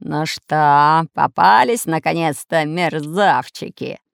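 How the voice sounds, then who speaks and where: A woman voicing a red-bearded male pirate captain, a gloating storybook villain with a hoarse, barking voice. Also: deep voice, no room sound, clean studio sound. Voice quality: hoarse, raspy. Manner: barking, gloating, drawn-out menace.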